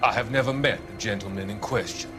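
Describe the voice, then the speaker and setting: An actor playing a smooth, evasive, quietly menacing psychiatrist in a dramatic video game, with a courteous, deliberate, patronizing manner. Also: male voice, lowish voice, natural voice. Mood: uneasy, evasive. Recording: background music behind the voice.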